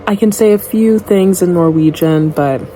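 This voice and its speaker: A woman's voice: fairly low pitch, moderate pace, mellow, slight background noise, rough recording. A young woman from Dallas, Texas, whose first language is Igbo, chatting candidly and rambling to the camera in a casual vlog. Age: young adult. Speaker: vlogger.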